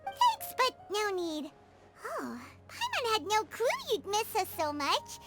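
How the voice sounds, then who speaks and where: A tiny, excitable fairy companion from a video game, speaks in an extremely high, childlike voice. The delivery is chirpy, theatrical and hugely animated in pitch. Loud music plays behind it. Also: female voice, takes it slowly, exaggerated voice. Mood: cheerful. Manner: chirpy, dramatic, refers to self in third person.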